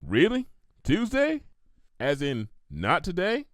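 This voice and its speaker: A man's voice: on the high side, huge pitch swings, slow, dry recording, studio quality, exaggerated voice. A man voicing a tired, plain-spoken adult female farm pony with a folksy, wry way of talking. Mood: suspicious.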